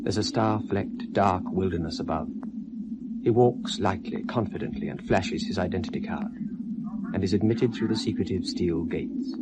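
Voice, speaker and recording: A man performing as the narrator of a radio space drama, measured, literary and portentous. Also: low voice, heavy background noise, lightly acted. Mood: hushed, descriptive.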